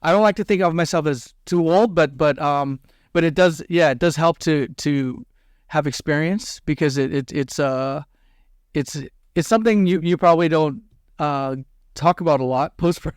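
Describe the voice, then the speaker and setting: Chuckling male guest, fairly high voice. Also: normal speed, clear, resonant tone, no room sound, clean studio sound. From Thailand. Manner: laughs often, repeats words. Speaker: guest, post-producer. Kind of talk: casual interview.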